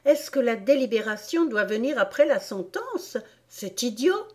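A woman's voice: a little high, sing-song, unhurried, voice pushed up. A woman voicing a bold, cheeky, outspoken girl in a storybook, frank and defiant. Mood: defiant, mocking.

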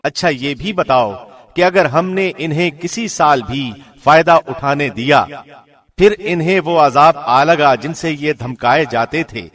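A man's voice: a little high, fairly bright tone, big-room sound. A man reading a scripture translation from a script in a formal radio presenter's style.

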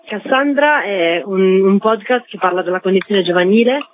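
A woman's voice: mid-range voice, normal speed, slight background noise. Young woman explaining over a tinny phone line. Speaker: podcast maker, radio guest. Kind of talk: explanatory interview answers.

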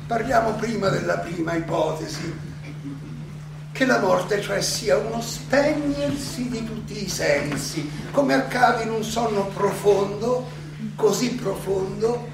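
A man playing an elderly condemned Greek philosopher, defiant yet serene, delivering a deliberate, halting theatrical monologue full of rhetorical questions in an echoey, noisy recording. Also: high-pitched, animated, vocal fry, far from the mic, exaggerated voice. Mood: musing, serene. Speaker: stage actor.

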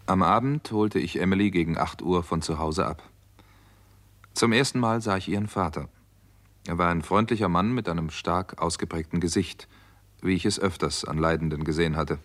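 A man's voice: low-pitched, normal speed, fairly bright tone. A man acting as a doctor hero who tells a crime story in a dry, controlled way, in a 1960s German radio play. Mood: sober narration. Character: male doctor and hero narrating a 1950s Hollywood crime story.

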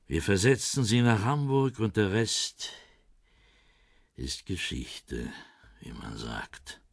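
Low-voiced, worn-down old East German spymaster. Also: male voice, wildly swooping pitch, slow pace, creaky, slightly rough, no room sound, studio quality, heavily acted. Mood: proud, reminiscing. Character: heavyset former East German intelligence officer, defensive, sardonic, worn-down. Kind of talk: German radio crime drama acting.

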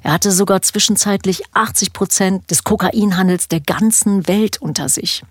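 A woman with a husky voice, narrating a scripted podcast introduction to a true-crime audio drama. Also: mid-range voice, normal speed, dry recording, studio quality. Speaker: podcast host.

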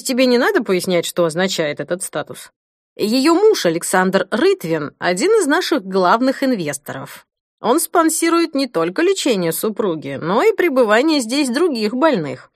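A confident male head physician read by a woman talks with lively, swinging intonation. He is brisk, familiar and persuasive, an audiobook character stressing his clinical points in a close, dry recording. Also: fairly high pitch, dry recording. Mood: persuasive, authoritative.

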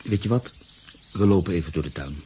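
A man's voice: deep voice, fairly quick, dark tone, a little hiss or hum, lightly acted. An actor playing a brooding, cynical man in love who narrates a radio drama. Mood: solemn, tender.

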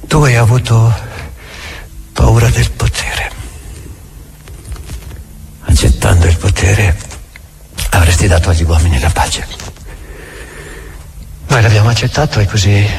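An actor playing a bitter, domineering old Grand Inquisitor in a theatrical radio drama, halting and repeating his words. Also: fairly low pitch. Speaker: stage actor.

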